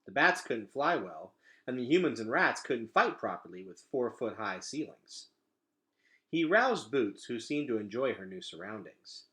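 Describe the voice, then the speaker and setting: A man reads a children's story aloud with an expressive delivery. His voice is bright and forceful, moving widely up and down in pitch. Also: measured pace. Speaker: read-aloud reader.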